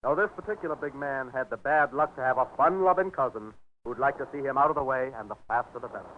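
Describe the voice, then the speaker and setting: An American actor playing an earnest, friendly army chaplain turned pastor in a 1940s radio drama. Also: male voice, medium pitch, fast, lightly acted. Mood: earnest, urgent. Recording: tinny, noisy 1940s radio recording.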